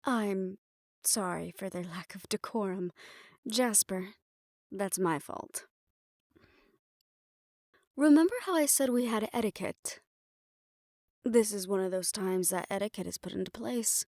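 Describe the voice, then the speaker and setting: She is the poised female manager of a secretive hotel for assassins, acted by a woman in an audio roleplay. She speaks slowly and formally, every courtesy edged with condescension, in a close, dry recording. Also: mid-range voice, a little mellow, dry recording, close to an everyday voice. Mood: pointedly polite. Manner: formal, measured, politely condescending. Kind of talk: scripted audio roleplay addressed to the listener.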